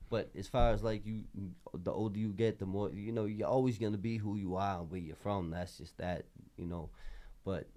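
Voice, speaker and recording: A man's voice: lowish voice, steady tone, normal speed, hardly any pauses, mellow. A man with a Boston accent, a podcast host talking casually in street slang with an emphatic, rambling flow. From Boston area, Massachusetts.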